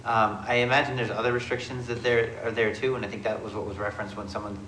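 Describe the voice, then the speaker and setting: A man chairing a city committee meeting, with a gravelly voice and an informal, thinking-aloud way of asking questions. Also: fairly low pitch, flat delivery, quick pace, fairly bright tone, echoey room, a little hiss or hum, low-quality recording.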